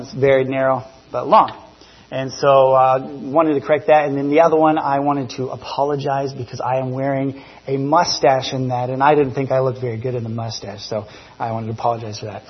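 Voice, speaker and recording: A man in his thirties from New Zealand gives an informal church testimony, conversational and halting, often correcting himself. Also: medium pitch, muffled recording. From grew up in New Zealand. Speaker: missionary giving a church presentation. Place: church, speaking to a congregation. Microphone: church PA microphone.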